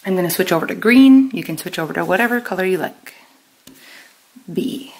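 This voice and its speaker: A woman teaching a step-by-step lesson in a deliberate, instructional way, pausing and stressing key terms. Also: fairly low pitch, animated, a little mellow, poor audio. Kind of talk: step-by-step lesson with pauses for note-taking.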